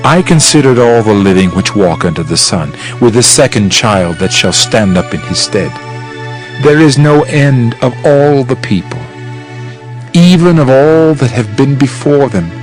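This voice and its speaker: Solemn male Preacher, played by an actor for dramatized scripture audio: oratorical, measured, medium-pitched, faint music beneath. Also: expressive, close to an everyday voice. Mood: solemn, admonishing. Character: solemn male Preacher reflecting on vanity and God. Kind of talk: dramatized King James Bible reading.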